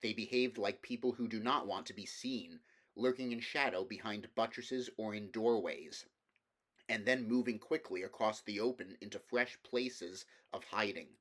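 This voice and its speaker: A man's voice: fairly low pitch, normal speed, fairly bright tone. A man reading a fantasy novel aloud as scripted storybook narration. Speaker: amateur audiobook reader.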